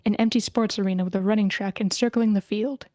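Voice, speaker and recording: A woman's voice: medium pitch, fairly even intonation, quick pace, a little mellow, no room sound, studio quality. A woman reading stage directions as narrator, in scripted narration at a screenplay table read.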